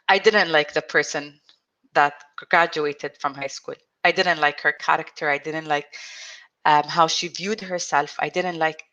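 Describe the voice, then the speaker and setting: A woman in her thirties, an interview guest telling her own story in an earnest, reflective way, speaking with a stutter, frequent restarts and pauses. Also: low-pitched, fairly bright tone, dull audio. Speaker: interview guest, support group founder. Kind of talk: personal storytelling in conversation.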